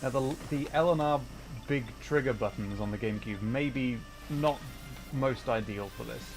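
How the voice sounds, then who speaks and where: A man's voice: mid-range voice, moderate pace. A man giving casual gaming livestream commentary, rambling and thinking aloud as he plays. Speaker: livestream host, gamer. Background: music.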